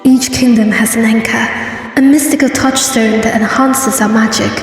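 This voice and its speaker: Cartoon sea-pony royal played by a woman: highish, formal, earnest, poised, over faint music. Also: fairly even intonation, natural voice. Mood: solemn. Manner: formal, measured storytelling. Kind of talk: cartoon comic dub. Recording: very echoey, distant, music behind.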